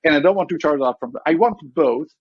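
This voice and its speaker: A man's voice: fairly high pitch, expressive, rapid-fire, no room sound, highs cut off. A man with an Israeli accent, a startup CEO being interviewed, who rambles with many fillers and restarts. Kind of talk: conversational podcast interview.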